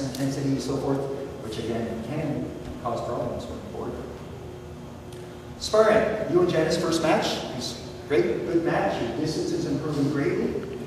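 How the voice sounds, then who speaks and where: A martial arts instructor with a big, projecting voice gives a student methodical, encouraging feedback at a belt test. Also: male voice, mid-range voice, background noise. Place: large echoing training hall. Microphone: distant camera mic. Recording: echoey hall recorded from far off.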